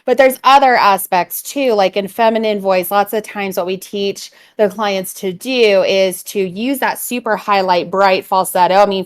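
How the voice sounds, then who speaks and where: Explaining woman voice coach, slight fry. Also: mid-range voice. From California. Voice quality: a little vocal fry. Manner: fluent, explanatory, many "um"s. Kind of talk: explaining vocal technique in a conversation.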